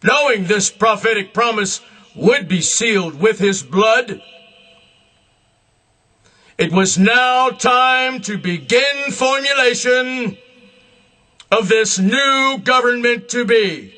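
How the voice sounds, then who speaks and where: A man delivering a solemn, scripted religious address in a grandiose, emphatic manner, in deliberate short phrases. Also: very high-pitched, bright, forceful tone. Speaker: self-proclaimed religious leader.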